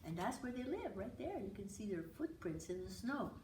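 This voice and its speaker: A woman's voice: mid-range voice, moderate pace. A woman author reading a children's picture book aloud expressively, with small asides to the listeners. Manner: expressive, dramatic. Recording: roomy, distant mic with hiss.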